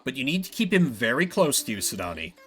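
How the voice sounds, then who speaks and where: A man playing a weary, loving king and father in a video game, gentle and reassuring. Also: mid-range voice, very animated, fast talker, slightly rough. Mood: fond.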